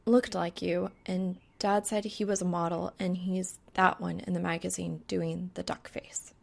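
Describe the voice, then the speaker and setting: A woman voicing a mortified, dramatic young woman gamer who veers between flat monotone and thin whimpering. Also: on the low side, measured pace, vocal fry, heavily acted. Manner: thin-voiced, whimpering, dead-eyed monotone.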